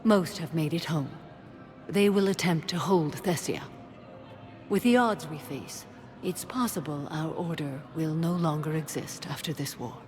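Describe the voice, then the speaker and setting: Low-voiced, stoic warrior-monk. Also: female voice, a little slow, darkish tone, faint music behind. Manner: composed, grave, formal. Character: stoic, ancient alien warrior-monk woman, serene and stern. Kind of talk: cinematic video game acting. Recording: long hall reverb.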